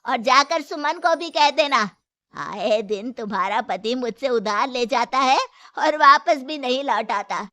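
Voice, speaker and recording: A woman acts out an older mother-in-law and shopkeeper in a moral story, shrewd and firm. Her voice is high and her delivery slow and deliberate, with a dry studio sound. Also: animated, sharp tone, no room sound, full character voice. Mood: stern scolding. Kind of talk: Hindi moral story character acting.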